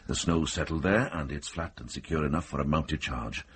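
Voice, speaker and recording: An actor playing a wise, determined middle-aged monk and former soldier in a radio drama, measured, thoughtful and dryly understated. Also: male voice, a bass voice, a bit bright, a little hiss or hum. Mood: urgent, strategic.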